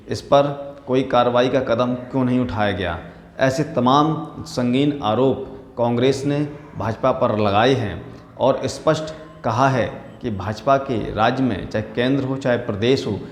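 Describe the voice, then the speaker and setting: A man presenting political news commentary in a deliberate, halting manner. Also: medium pitch, slight background noise. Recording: echoey room with long reverb.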